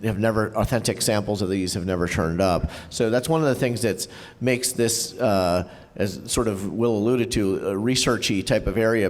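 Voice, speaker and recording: A man co-presenting at a convention panel, talking casually with halting restarts and fillers. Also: fairly low pitch. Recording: reverberant hall.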